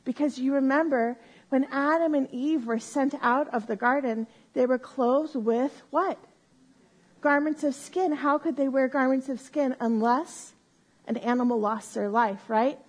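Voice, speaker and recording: A woman teaching a Bible lesson to a live group on a microphone, explaining slowly and step by step and often checking in with "right?". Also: high-pitched, rough recording. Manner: says she has a loud voice. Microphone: handheld or stand mic.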